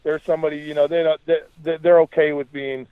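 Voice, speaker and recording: A man's voice: a little high, flat delivery, quick pace, clean tone, dry recording. A man talking as a podcast guest, giving emphatic, opinionated rants in slangy conversational speech. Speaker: podcast guest, musician and writer. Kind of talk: animated ranting conversation. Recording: thin phone-like line.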